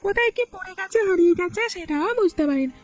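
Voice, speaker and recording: A woman's voice: very high voice, expressive, fast. Woman voicing a polite village schoolboy. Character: young village schoolboy, eager student. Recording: soft music underneath.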